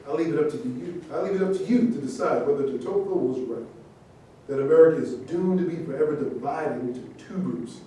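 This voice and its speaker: A man's voice: warm tone, natural voice. A man in a staged reading, playing a proud, aggrieved fallen public figure who speaks in oratorical, emphatic phrases. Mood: measured, solemn. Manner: oratorical, rhetorical questions, emphatic pauses. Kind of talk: theatrical monologue, staged reading. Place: indoor room at a staged reading. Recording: very echoey room, distant mic, faint rumbling noise.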